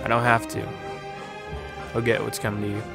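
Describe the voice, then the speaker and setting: An actor playing a blunt, accusing male high school student in a radio drama. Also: completely flat delivery, brisk, creaky, music in the background.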